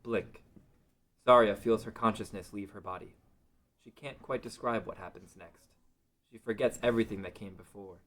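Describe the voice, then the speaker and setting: Low-pitched male narrator. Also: moderate pace, fairly bright tone. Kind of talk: scripted narration of a fantasy table read.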